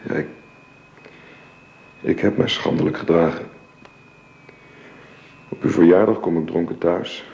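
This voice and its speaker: A man's voice: low-pitched, steady tone, measured pace, creaky, pauses often, darkish tone. An actor playing a middle-aged, formally polite and remorseful husband and father in a radio drama, hesitant and stammering. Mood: contrite. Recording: dull, roomy, noisy radio drama recording.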